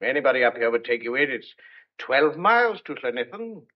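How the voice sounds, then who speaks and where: A middle-aged man playing a hearty, hospitable farmer and father in an audio drama, brisk and plain-spoken. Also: medium pitch, big pitch swings. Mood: hearty, welcoming. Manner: brisk, homely, reassuring. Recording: dull lo-fi audio.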